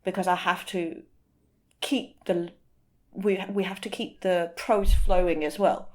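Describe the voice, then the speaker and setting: A woman's voice: lowish voice, fairly even intonation. Thoughtful woman explaining as an interview guest. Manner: thoughtful, explanatory, halting phrasing with repeated words. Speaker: guest, literary translator.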